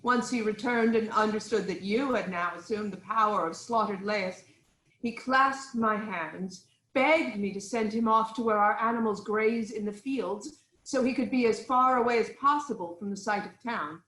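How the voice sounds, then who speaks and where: A woman playing a poised, concerned queen in a formal, measured reading of Greek tragedy. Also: medium pitch, fairly bright tone, close to an everyday voice. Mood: measured recounting. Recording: roomy, echoing sound.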